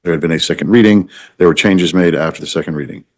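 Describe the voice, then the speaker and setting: A man's voice: low voice, quick pace. A man speaking as a judge, putting short, informal questions and interjections to check details. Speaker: judge presiding over a hearing. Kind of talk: brief courtroom questions and interjections.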